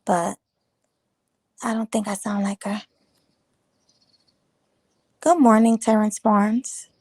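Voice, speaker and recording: A woman chats loosely on a morning livestream, greeting viewers and riffing on their comments. Her voice is a touch raspy from the early hour, and it sits close and dry with no room around it. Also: medium pitch, fairly even intonation, slow, darkish tone, no room sound. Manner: chatty, rambling, teasing viewers. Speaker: livestream host. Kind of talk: casual live chat reading comments.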